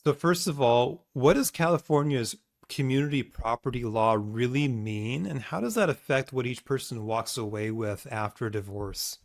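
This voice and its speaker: A man's voice: medium pitch, moderate pace, hardly any pauses. Friendly male podcast host. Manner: friendly, reads a scripted intro then asks casual questions. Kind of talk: podcast interview.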